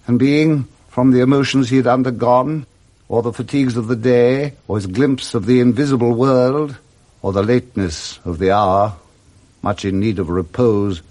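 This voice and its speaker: A man narrating a classic story for 1960s radio in a measured, theatrical storytelling manner. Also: medium pitch, darkish tone. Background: music.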